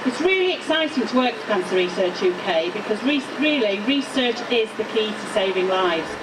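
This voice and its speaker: A woman's voice: medium pitch, expressive, normal speed. A woman speaking informally as a spokesperson for a cancer research charity. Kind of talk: informal talk to camera. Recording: distant mic, clear background noise.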